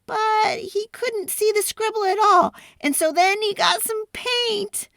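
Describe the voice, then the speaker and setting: A woman voicing an earnest, excitable child in a storybook, explaining things in an animated, dramatic way. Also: extremely high pitch, slow, vocal fry, no echo, voice pushed up, full character voice. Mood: sheepish explaining. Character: earnest, excitable child telling a dinosaur tale.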